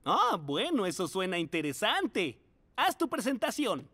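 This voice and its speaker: A lively kind teacher. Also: female voice, mid-range voice, unhurried, no echo, exaggerated voice. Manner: gentle, encouraging, sing-song. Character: kind, patient adult teacher. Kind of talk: cartoon dubbing. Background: music.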